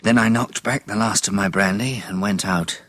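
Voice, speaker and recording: Actor as a low-voiced British survivor. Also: male voice, normal speed, a bit bright, close to an everyday voice. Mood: sombre narration. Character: sighted male survivor, steady, urgent, shaken protagonist. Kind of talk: radio drama dialogue and first-person narration.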